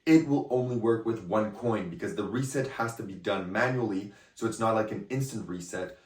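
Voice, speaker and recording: A man with a hoarse, partly lost voice, giving a chatty, rambling review of a magic trick and often checking in with the viewer. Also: fairly low pitch, normal speed, darkish tone. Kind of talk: casual product review to camera. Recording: echoey room, a bit far from the mic.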